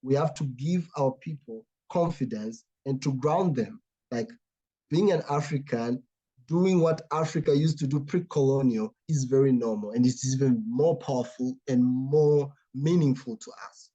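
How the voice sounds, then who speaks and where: A man from Tanzania, speaking as an artist in an earnest, reflective way and often pausing to search for words. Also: medium pitch, dark tone, slightly rough, highs cut off. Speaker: artist guest. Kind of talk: artist talk, reflective explanation.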